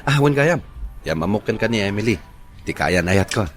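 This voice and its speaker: A man's voice: fairly low pitch, lively intonation, normal speed, close to an everyday voice. An actor playing an easygoing young man, a devoted son, in a Filipino radio drama, with a Filipino accent. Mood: casual.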